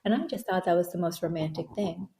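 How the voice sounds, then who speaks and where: A woman's voice: on the low side, quick pace, mellow. A woman in her thirties, born in Bangladesh and raised in Thailand, telling her own story earnestly as an interview guest, often restarting her sentences. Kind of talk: candid conversational interview. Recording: echoey room.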